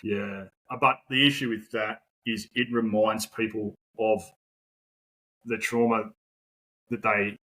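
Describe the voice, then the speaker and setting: A man's voice: on the low side, flat delivery, takes it slowly, pushed and bright, low-quality recording. An Australian man in his forties, a podcast guest talking casually, rambling with lots of fillers and the odd swear word. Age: 40s. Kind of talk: casual conversational interview.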